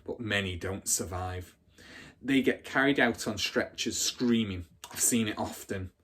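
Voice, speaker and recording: Man acting a husky, scornful schoolgirl bully. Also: fairly low pitch, unhurried, fairly bright tone. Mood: menacing relish. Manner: scornful, relishing grisly details. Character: rugged ten-year-old bully girl, scornful, boastful, dramatic.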